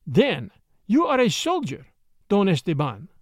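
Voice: mid-range voice, huge pitch swings, a little slow, breathy edge, dry recording, studio quality, full character voice. A narrator voicing a pert, childlike young Mexican woman in a storybook, speaking broken English with a Spanish accent and a teasing lilt. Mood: wary, scolding. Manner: saucy, lilting, childlike.

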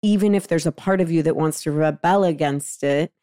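A woman's voice: low-pitched, brisk, dark tone, dry recording, studio quality. A woman co-hosting a podcast, talking in a casual, earnest conversational style. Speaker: podcast co-host.

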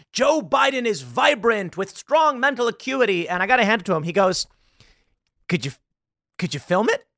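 Animated sarcastic man in his thirties. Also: sits high, no echo, clean studio sound. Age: 30s. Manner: impassioned, sarcastic, mocking quoted voices. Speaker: political commentary host. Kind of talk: live political commentary.

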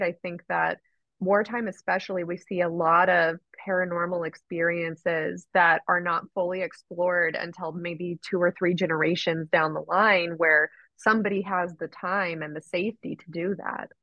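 A woman hosting a podcast with a lowish voice, recorded dry and a little dull, as if on a modest lo-fi mic. She asks long, winding questions and reflects back what her guest has said in an even, affirming way. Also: normal speed, no echo. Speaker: podcast host. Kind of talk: interview chat.

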